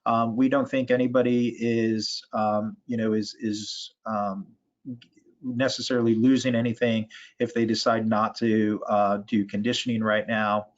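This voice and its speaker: Man, flat even intonation, halting measured answers, official's meeting talk, dull lo-fi video call. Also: lowish voice. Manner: halting, measured, with repeated false starts. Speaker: education and school sports association official. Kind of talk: answering questions in an online meeting.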